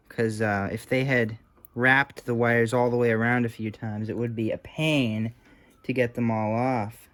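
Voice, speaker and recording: Casual man talking through a hands-on repair. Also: medium pitch. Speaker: hobbyist restoring old electronics. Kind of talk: casual unscripted talk while working on a repair.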